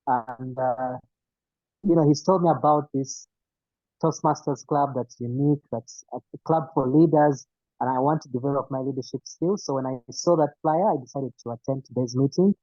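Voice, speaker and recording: A man's voice: mid-range voice, moderate pace, mellow, no echo. An enthusiastic man from Uganda speaking as a guest on a call. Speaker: guest at a club meeting. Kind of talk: casual remarks on a call. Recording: dull lo-fi call audio.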